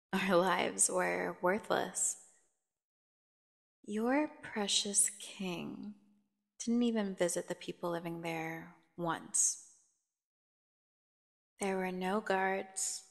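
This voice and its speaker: A woman playing a seductive, dangerous captive vampire in an ASMR fantasy horror roleplay, teasing and theatrical, with a creaky voice, speaking straight to the listener. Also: on the low side. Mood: bitter. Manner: teasing, slow, theatrical, addressing the listener directly. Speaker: audio roleplay performer. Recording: long echoing reverb.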